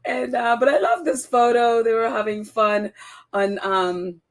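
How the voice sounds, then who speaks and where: A woman hosting a casual solo podcast, her voice croaky and congested from a cold, chatty with frequent laughs and ums. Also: on the high side, slow. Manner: chatty, giggly, lots of ums. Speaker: podcast host.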